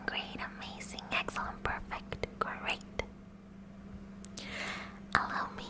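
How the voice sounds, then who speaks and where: A woman's voice: natural voice, low-quality recording. Woman playing a whispering, kooky teenage science girl. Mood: anxious, solicitous, then proud, nerdy. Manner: breathy whisper, nerdy formal wording, nervous stammering. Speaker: ASMR roleplay performer. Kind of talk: whispered ASMR roleplay.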